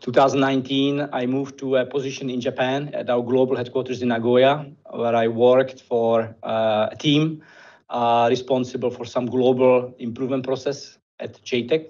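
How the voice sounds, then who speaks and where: A man with a Czech accent speaking in English at a steady, mid-pitched level. He presents formally and pauses often with small hesitations. The video-call audio sounds dull and slightly muffled. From Czech Republic. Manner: measured, with frequent hesitations. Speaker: conference presenter.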